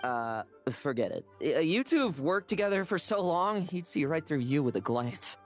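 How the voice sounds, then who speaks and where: A woman's voice: sits low, sing-song, normal speed, big-room sound, faint music behind. A woman playing a cheeky, evasive young knight in a game, with a breezy, glib manner. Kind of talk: game voice acting.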